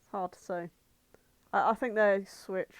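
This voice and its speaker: A woman's voice: medium pitch, fairly even intonation, unhurried, no echo. A woman co-hosting a podcast, chatting casually and comedically in a blunt, irreverent way. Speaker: podcast co-host.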